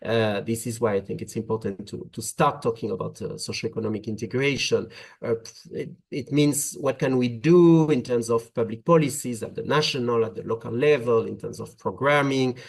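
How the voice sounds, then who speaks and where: A man from France with a French accent, giving a webinar talk on migration policy, speaking in measured phrases with frequent "uh" fillers. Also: mid-range voice, vocal fry, a little mellow, slightly rough.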